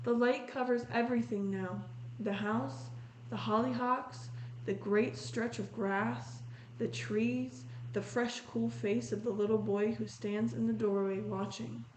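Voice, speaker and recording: A woman reading a picture book aloud in a measured, descriptive storytelling manner, pausing to comment on the illustrations. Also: fairly high pitch, fairly even intonation, darkish tone. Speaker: read-aloud host. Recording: roomy echo with faint noise.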